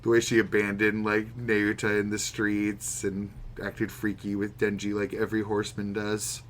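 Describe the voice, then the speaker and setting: Male podcast co-host, low voice, casual and opinionated, light background noise. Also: monotone, moderate pace. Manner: opinionated, musing, swears freely. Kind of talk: casual chat about manga.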